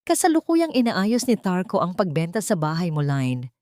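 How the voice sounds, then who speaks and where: A woman voicing a calm, sympathetic male lawyer who explains grave news gently in an audio drama. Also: on the low side, moderate pace, dry recording, studio quality. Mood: grave, warning.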